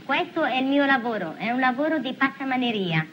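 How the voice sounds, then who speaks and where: A woman's voice: sits high, expressive, a little fast, muffled recording, a little hiss or hum. A working woman giving a plain personal account in an archive interview recording.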